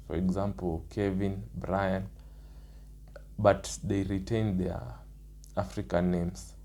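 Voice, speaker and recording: A young man with a Kenyan accent, his voice rough from the early morning, talking through his points one by one in a casual vlog. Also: sits low, dark tone. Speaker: nursing student.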